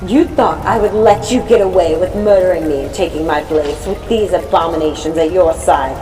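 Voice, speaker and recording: A woman's voice: on the low side, moderate pace, dark tone, big-room sound, distant mic, faint music behind, natural voice, rough recording. Storybook witch mother woman reader: menacing, grandiose, scornful queen of the dead, projected hard. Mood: vengeful scorn.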